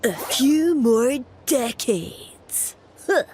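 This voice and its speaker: A woman voicing a dim, excitable little talking mushroom sidekick in a video game. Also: high-pitched, noisy background, heavily acted. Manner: slow-witted, exclaiming. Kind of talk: English video game voice acting. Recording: music clearly under the voice.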